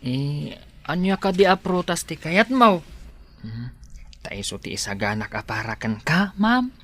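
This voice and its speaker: A man's voice: a little high, very animated, measured pace, a bit bright, heavily acted. A man with an Ilocano accent playing a loyal, protective household helper in a radio drama, earnest and deferential. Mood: devoted, worried.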